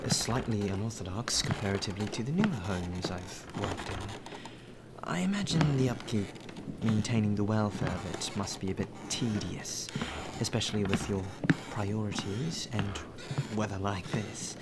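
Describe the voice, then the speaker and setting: A man's voice: on the low side, normal speed, natural voice. A man playing a polite, deferential butler in an ASMR roleplay, with formal, flowery phrasing. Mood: admiring. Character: courteous, well-spoken male butler, deferential and appreciative. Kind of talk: ASMR roleplay acting, addressed to the listener. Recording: rain ambience and clear background noise.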